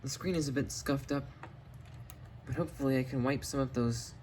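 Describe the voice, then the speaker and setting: A man's voice: mid-range voice, fairly even intonation, brisk, darkish tone, a little hiss or hum. A man giving a casual hands-on product demonstration on a home camera recording. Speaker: video host, hobbyist collector. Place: indoors in a home basement.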